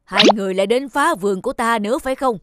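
Gruff, grieving old farmer. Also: male voice, extremely high pitch, rapid-fire, fairly bright tone, dry recording, clean studio sound. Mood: angry. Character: gruff, grieving old farmer guarding his lavender garden. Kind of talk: cartoon voice acting. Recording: music under the voice.

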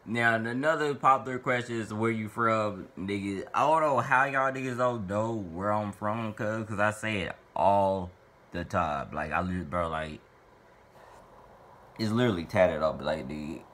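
A man's voice: on the low side, unhurried, fairly bright tone. A young man in his twenties from Little Rock, Arkansas, rambling profanely and playfully while answering fan questions to camera in a small bedroom. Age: 20s. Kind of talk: casual Q&A monologue to camera.

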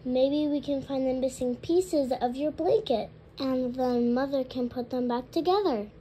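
A woman's voice: high voice, unhurried, dark tone, a little hiss or hum. A woman voicing a small, earnest, sweet puppy in a storybook read-aloud. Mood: helpful. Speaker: guest reader.

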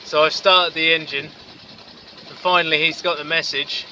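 A tired man in his thirties talks into a handheld camera on the open deck of a small sailboat. He speaks in a matter-of-fact, halting way, with a slightly high voice. Wind and water noise are clearly heard behind him. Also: steady tone, bright, forceful tone, rough recording. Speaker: solo sailor vlogger. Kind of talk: casual video diary. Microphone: camera mic at arm's length. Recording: windy outdoor camera audio at sea.

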